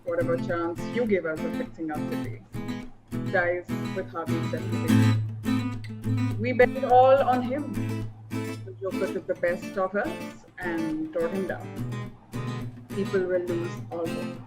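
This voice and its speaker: A woman's voice: lowish voice, steady tone, measured pace, pauses often, lightly acted. A woman playing an earnest, grief-stricken male police commissioner, making solemn speeches in an amateur dramatic table read. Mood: grieving, defeated. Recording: loud guitar music behind the voice.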